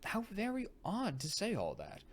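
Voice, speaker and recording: A man voicing a curious, earnest young gentlewoman in a gothic audiobook, polite and emphatic. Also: high voice, huge pitch swings, moderate pace, pitched above the performer's own voice, heavily acted. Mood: puzzled. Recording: noticeable background noise.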